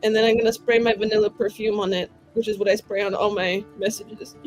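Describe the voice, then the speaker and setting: A woman's voice: mid-range voice, level tone, a little fast, poor audio. A woman playing a tabletop game, improvising casually with a flippant, informal way of talking. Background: soft background music.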